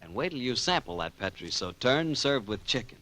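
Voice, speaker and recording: Animated old-time radio announcer man. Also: medium pitch, brisk. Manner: folksy, enthusiastic salesmanship. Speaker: announcer and sponsor spokesman.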